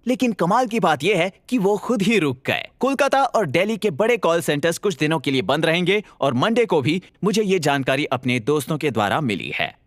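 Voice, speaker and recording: A man narrating a scripted video in a playful, animated storytelling manner. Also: a little high, fairly quick, hardly any pauses, no room sound, studio quality.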